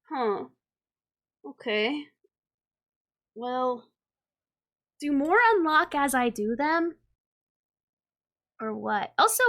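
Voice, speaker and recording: A woman's voice: high voice, pushed and bright. A woman live-streaming a game with casual, slangy, reactive commentary and offhand swearing.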